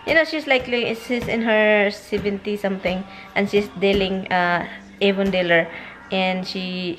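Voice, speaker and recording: Filipina lady in her 30s vlogging, chatty and rambly, camera at arm's length, home and car. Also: medium pitch. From Philippines. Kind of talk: casual vlog chat. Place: home, then inside a car. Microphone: handheld camera at arm's length.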